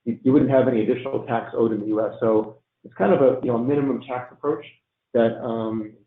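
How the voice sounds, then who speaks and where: A man presenting on a tax webinar, halting and full of ums and restarts, a bit hoarse from losing his voice. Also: medium pitch, fairly even intonation. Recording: echoey, tinny phone-line audio.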